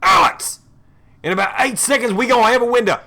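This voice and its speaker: A man's voice: very high voice, brisk, pushed and bright, exaggerated voice. A man playing a hardened, resolute leader of dragon slayers in an audio drama, giving terse orders. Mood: urgent shouting.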